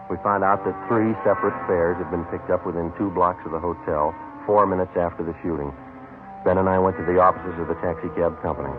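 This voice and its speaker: An American actor playing a terse, businesslike police detective in a 1950s radio crime drama, clipped, matter-of-fact and deadpan. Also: male voice, low voice, natural voice. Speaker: actor, lead and narrator. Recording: tinny old radio broadcast, music behind.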